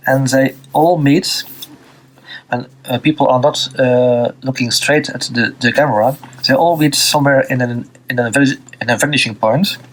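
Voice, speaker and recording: A man from Belgium with a Dutch accent, teaching a drawing lesson off the cuff, pausing often with fillers and restarts while he draws. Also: medium pitch, rough recording. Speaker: art teacher. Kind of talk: unscripted drawing tutorial.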